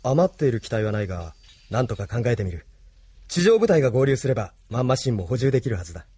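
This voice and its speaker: A man acting a calm, considerate resistance leader in a radio drama, composed and courteous, with music behind. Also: medium pitch, fast talker, husky, no room sound. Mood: calm, caring.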